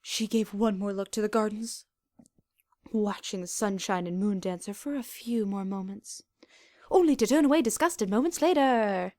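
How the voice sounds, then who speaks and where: A woman reading fan fiction aloud as an expressive scripted narration. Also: mid-range voice, moderate pace, no echo, studio quality.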